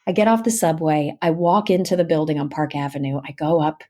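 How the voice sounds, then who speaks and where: A woman's voice: deep voice, fairly even intonation, fairly quick, mellow. A woman guest, an actress and audiobook narrator, telling personal stories in an interview in a dramatic way and acting out the dialogue. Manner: dramatic, acts out dialogue as she tells it. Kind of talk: animated personal storytelling in an interview.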